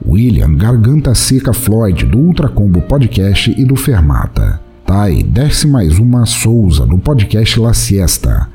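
A man's voice: lowish voice, warm tone. A Brazilian man hosting a podcast, reading scripted announcements in a playful, mock-formal way over faint music. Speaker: podcast host.

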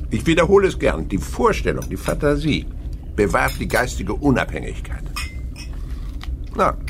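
An actor playing an elderly, thoughtful and melancholy naturalist in a German radio play, musing and pausing as he speaks. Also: lowish voice, creaky, slight background noise, heavily acted. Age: older. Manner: reflective, musing, hesitant pauses.

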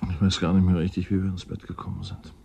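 Deep, husky, wry scientist. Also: male voice, level tone, quick pace, warm tone, no echo, heavily acted. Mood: sleepy, relaxed. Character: older male climate scientist, wry, courteous, absorbed in theory. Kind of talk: German radio drama acting, naturalistic dialogue.

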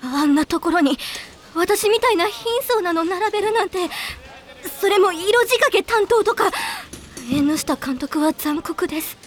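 A woman playing a timid, self-deprecating high school girl in an anime audio drama, anxious and earnest. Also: animated, normal speed. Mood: woeful.

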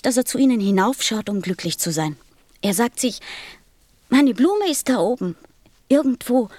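A woman's voice: fairly high pitch, darkish tone, no room sound. A woman playing a sensitive, earnest little boy prince in a radio play, speaking with insistence. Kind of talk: German radio play acting.

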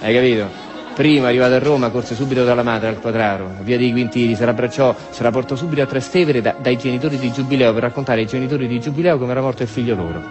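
A man's voice: medium pitch, fairly even intonation, quick pace, music in the background. A man with a Roman accent performing a colloquial theatrical monologue about war, rambling and repetitive, tossing in tag questions. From Rome, Italy. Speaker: monologue storyteller, stage actor.

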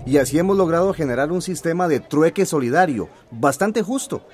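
An actor playing a jovial, boastful, teasing farmer in a radio drama. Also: male voice, a little high, animated, a little fast, a little mellow, soft background music, natural voice. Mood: proud.

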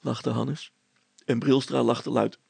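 A man narrates a story as an expressive reader. His voice is gravelly and rough-edged, captured close with very little room sound. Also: mid-range voice, slow pace, no room sound.

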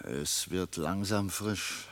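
An actor playing a wry, evasive and testy soldier in a radio play, hesitant and sardonic. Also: male voice, low-pitched, slow pace, a bit bright, heavily acted. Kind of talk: German radio play dialogue.

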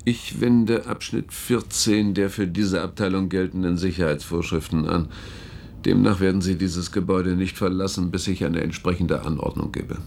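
An actor playing a commanding, world-weary head of internal security in a German radio drama, forceful and deliberate in argument. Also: male voice, sits low, fairly even intonation, vocal fry, a little hiss or hum. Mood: coldly official.

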